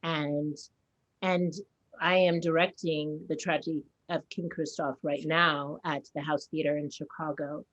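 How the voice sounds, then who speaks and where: A woman's voice: low-pitched, flat delivery, unhurried, a bit bright, muffled recording. A Haitian-born woman who works as a theater director and actor, speaking earnestly in a panel conversation.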